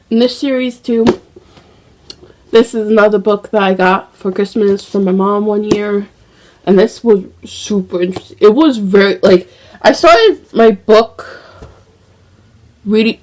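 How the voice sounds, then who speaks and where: A young woman talks at an unhurried, drawn-out pace, rambling through her thoughts with plenty of fillers and restarts. She sounds a little stuffy from a cold and coughs now and then. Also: mid-range voice, low-quality recording. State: slightly congested with a cold, occasional cough. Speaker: book vlogger. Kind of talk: casual chat.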